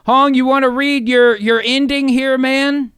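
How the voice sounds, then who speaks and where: Male host, high-pitched and clean-toned, casual showman banter for improvised audio drama. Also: level tone, a little slow, sharp tone, clear, resonant tone. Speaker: host and voice actor.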